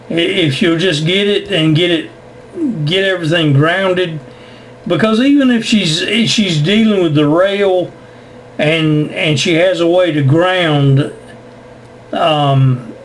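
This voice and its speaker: American guy chatting on ham radio, slow and a bit high, repeats himself, faint noise. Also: rough recording. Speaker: amateur radio operator. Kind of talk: casual on-air radio chat.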